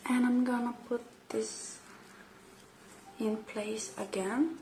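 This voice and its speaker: A woman doing a gentle, unhurried ASMR roleplay, speaking softly and slipping into breathy whispers close to the microphone. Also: a little high, steady tone, a little hiss or hum, poor audio. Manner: gentle, unhurried, murmuring to the listener. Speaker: ASMR creator playing a robot repair technician.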